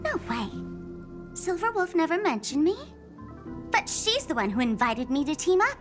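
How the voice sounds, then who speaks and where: A woman's voice: very high voice, very animated, moderate pace. An actress playing a theatrical, teasing young trickster woman in an anime-style game, with a smug, sing-song delivery. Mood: teasing, indignant. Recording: music behind the voice. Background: game soundtrack music.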